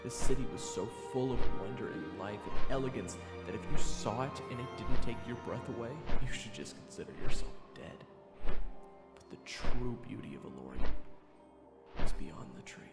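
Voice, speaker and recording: A man's voice: lowish voice, lively intonation, normal speed. An actor playing a chatty young swordsman who narrates a fantasy audio drama in a conversational, dramatic way. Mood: awed. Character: roguish, chatty young swordsman retelling his adventure. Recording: echoey reverb, loud music behind.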